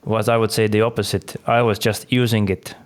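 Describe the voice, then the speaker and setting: A man hosting a podcast in relaxed conversation who laughs easily and uses many fillers. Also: lowish voice, a little fast. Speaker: podcast host. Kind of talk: casual interview talk.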